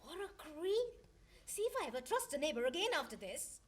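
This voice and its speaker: An actress playing a volatile, quarrelsome grown daughter given to melodramatic hysterics in a stage farce. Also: female voice, sits high, very animated, fairly quick, a crowd in the background. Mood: indignant. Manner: melodramatic, over-the-top. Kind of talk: stage farce read as audio drama.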